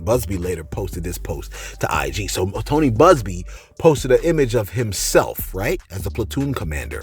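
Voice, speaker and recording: A man hosting a casual commentary show, reading posts aloud and answering viewers with animated, mock-angry bursts. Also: medium pitch, breathy edge. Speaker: commentary channel host.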